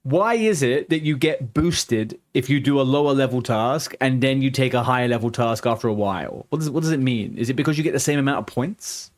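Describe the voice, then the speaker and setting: Chatty man with a softened London accent. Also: medium pitch, brisk, hardly any pauses. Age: 30s. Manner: chatty and rambling, answers viewers' chat aloud. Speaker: game streamer. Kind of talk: casual live-stream chat.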